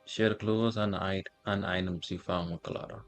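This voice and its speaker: A man giving a casual game walkthrough and reading text aloud, in a halting way with small stumbles. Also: deep voice, steady tone, faint music behind. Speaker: gaming streamer.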